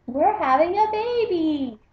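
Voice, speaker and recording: A woman's voice: very high-pitched, lively intonation, takes it slowly, roomy sound, lo-fi sound, slight background noise, voice pushed up, full character voice. A woman reading a storybook as a bossy, jealous little mouse girl, with an emphatic, bratty delivery. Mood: blurting.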